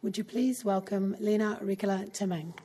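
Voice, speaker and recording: A woman host reading a formal scripted introduction of a guest speaker smoothly and evenly. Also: mid-range voice, steady tone, moderate pace, very noisy.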